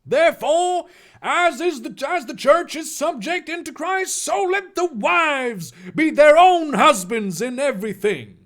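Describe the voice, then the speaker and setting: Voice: high-pitched, expressive, unhurried, a bit bright, voice pushed up, heavily acted. A performer voicing a fervent fire-and-brimstone preacher, declaiming scripture. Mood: commanding.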